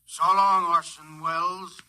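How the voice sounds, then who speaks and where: Sharp, sarcastic city editor. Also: male voice, on the high side, flat delivery, measured pace. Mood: dismissive. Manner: curt, sardonic. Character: cynical, sarcastic big-city newspaper editor. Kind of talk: 1950s radio drama acting.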